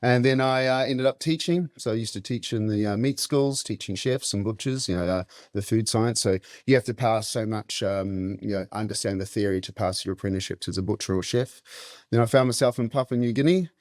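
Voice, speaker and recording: A middle-aged New Zealand man talks in a casual, conversational way. His voice sits on the low side. The recording is dry and close, with hardly any room sound. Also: a little fast, a little mellow, no echo. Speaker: interview guest, meat specialist and butcher.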